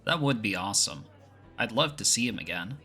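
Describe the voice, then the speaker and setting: Guy acting as laid back young clan second, good humored n playful, bright voice a bit on the low side, audio roleplay. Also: animated, brisk, slightly rough, faint music behind, heavily acted. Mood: appreciative.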